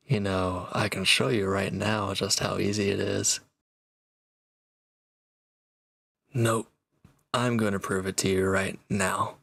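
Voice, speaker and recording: A man playing a proud, teasing reformed villain and swordsman in an intimate audio roleplay, talking cockily to one listener in a gravelly voice. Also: lowish voice, pushed and bright, no room sound, heavily acted. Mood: smug, teasing.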